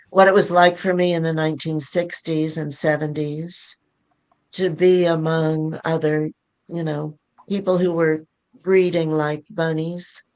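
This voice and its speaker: A woman in her seventies introducing herself informally on a panel, unhurried and wry. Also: fairly low pitch. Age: 70s.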